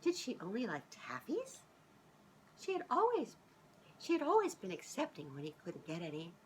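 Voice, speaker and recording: Middle-aged woman, children's storybook reader, sing-song delivery, lively swooping intonation, faint background noise. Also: medium pitch, moderate pace, poor audio. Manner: expressive, sing-song, with asides addressed to child listeners. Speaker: children's author reading her own book aloud. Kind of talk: storybook reading for young children. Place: small living room, on a couch indoors.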